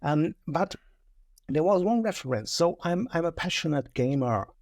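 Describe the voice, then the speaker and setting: A man's voice: fairly high pitch, big pitch swings, moderate pace, a little mellow, no room sound. Chatty German-accented man, dry studio sound. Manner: chatty, self-deprecating, joking. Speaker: podcast guest. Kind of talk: casual podcast chat. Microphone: studio mic.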